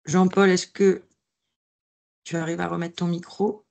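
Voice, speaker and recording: A woman's voice: fairly low pitch, level tone, a little slow, gravelly, a little mellow. A woman moderating a webinar on a video call, who speaks in a friendly, hesitant way with fillers. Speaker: webinar host.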